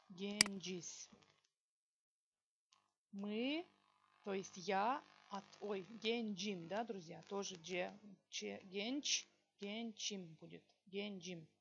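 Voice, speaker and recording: A woman teaching a language lesson, slowly dictating example sentences word by word and checking in with her students. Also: medium pitch, gravelly, a bit bright, highs cut off, slight background noise. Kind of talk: online language lesson, explaining exercises.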